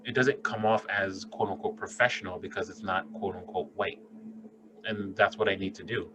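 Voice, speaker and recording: A man with a fairly low voice talks through a casual podcast conversation in an earnest, thoughtful way and swears freely. Steady background noise runs clearly under the recording. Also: normal speed. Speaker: podcast co-host, doctor.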